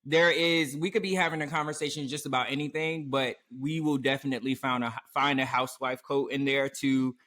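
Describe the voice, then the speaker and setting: A man hosting a casual podcast chat, talking with animated energy and often quoting others in a raised voice. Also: medium pitch, fairly quick, few pauses. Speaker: podcast host. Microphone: remote recording setup.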